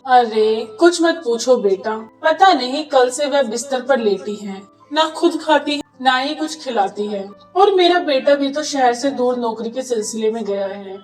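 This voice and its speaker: A woman's voice: high-pitched, expressive, unhurried, few pauses, recorded from a distance, soft background music. A woman voicing a complaining, gossipy older neighbour in a cartoon story. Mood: grumbling. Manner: grumbling, gossipy.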